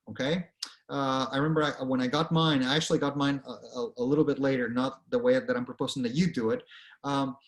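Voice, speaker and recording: A man doing casting: man with a Venezuelan accent and a fairly high voice, a direct speaker who talks students through the topic. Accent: Venezuelan Spanish. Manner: earnest, direct, conversational with frequent okays. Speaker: career speaker. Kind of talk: presentation to students.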